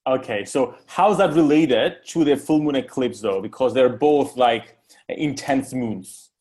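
A man with a medium-pitched voice hosts a relaxed question-and-answer chat. He speaks informally and conversationally, moving between questions and comments to the audience. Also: unhurried. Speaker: interviewer, host of live Q&A. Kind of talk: casual interview chat.

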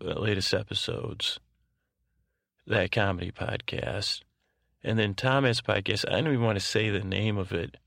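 A man hosting a bedtime podcast in a halting, meandering monologue, often repeating himself and trailing off. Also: lowish voice, vocal fry, a bit bright, no echo, studio quality. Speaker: podcast host.

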